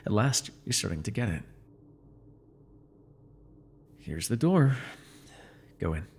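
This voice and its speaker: A man's voice: lowish voice, sing-song, moderate pace, gravelly, darkish tone, slightly rough, exaggerated voice. A man playing a smug, menacing male supervillain in an ASMR roleplay, taunting and commanding the listener with condescension. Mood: sly, menacing.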